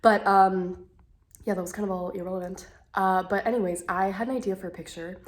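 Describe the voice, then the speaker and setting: A woman's voice: mid-range voice, steady tone, brisk. A woman in her twenties with a blocked nose, talking into a phone held at arm's length at home, hesitant and full of fillers and restarts. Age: 20s. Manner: hesitant, lots of fillers and restarts, self-deprecating. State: congested, slightly out of breath. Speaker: vlogger. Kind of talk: rambling casual vlog. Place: at home indoors.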